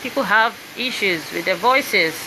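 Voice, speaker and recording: A woman with a Nigerian accent and a mid-pitched voice talks to viewers, chatty and encouraging, often asking "all right?" to check they follow. The noisy live-stream sound carries a steady background hiss. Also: moderate pace. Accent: Nigerian English. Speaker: singer and voice coach presenting her book. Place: indoors with piano and laptop.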